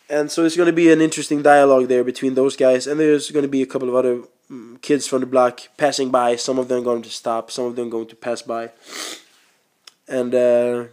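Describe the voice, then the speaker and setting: A young man from Sweden talks through his plans in a loose, rambling way, stopping often to search for words. He has caught a cold, and his voice sits at an ordinary middle pitch. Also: a little mellow. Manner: rambling, many ums and restarts. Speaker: podcast host and stand-up comedian. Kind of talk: casual monologue.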